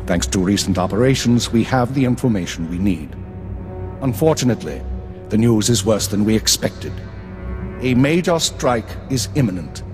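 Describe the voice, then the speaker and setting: An actor playing a stern imperial intelligence chief in a video game, speaking with formal, brisk authority. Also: male voice, lowish voice. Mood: stern, businesslike. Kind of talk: video game cutscene acting. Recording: reverberant hall, faint music underneath.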